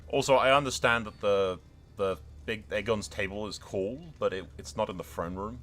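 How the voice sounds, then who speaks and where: A man's voice: on the low side, normal speed, fairly bright tone, soft background music. A man giving casual, sweary game commentary, slipping into mock-grandiose role-play narration. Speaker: gaming video host.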